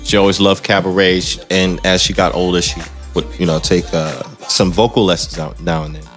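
A man's voice: deep voice, flat delivery, fairly quick, a bit bright, faint music behind. A man talking casually as an interview guest, explaining and telling stories in an emphatic way, often repeating phrases. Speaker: guest musician, singer and dancer.

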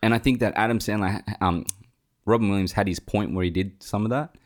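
Young male podcast co-host with a very low voice, loose and rambling, swears casually. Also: quick pace. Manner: rambling, swears freely, many fillers. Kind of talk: casual film review chat.

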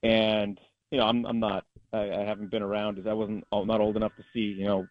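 A man's voice: lowish voice, flat delivery, brisk, no room sound. Dull-sounding line, emphatic male sports announcer. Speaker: sports play-by-play announcer as guest. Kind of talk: conversational sports analysis.

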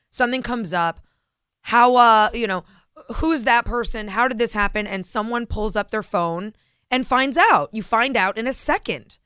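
A woman's voice: mid-range voice, fairly bright tone, clean tone, no room sound, studio quality. A woman co-hosting a radio talk show, chatting in a conversational way and dropping in short agreeing remarks. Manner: conversational, quick agreeing interjections. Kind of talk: casual talk radio discussion. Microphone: studio microphone.

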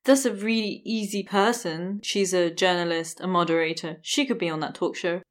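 A woman's voice: fairly low pitch, steady tone, normal speed. A woman co-hosting a discussion podcast, speaking in a thoughtful, frank, conversational way. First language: not German. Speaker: podcast co-host.

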